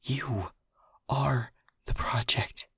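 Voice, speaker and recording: A man playing an anxious, protective young lab technician who stammers and keeps his voice to a hushed whisper. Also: medium pitch, extremely slow, gravelly, breathy edge, no echo, full character voice. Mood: frightened whisper. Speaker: audio roleplay voice actor. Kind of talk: audio roleplay acting.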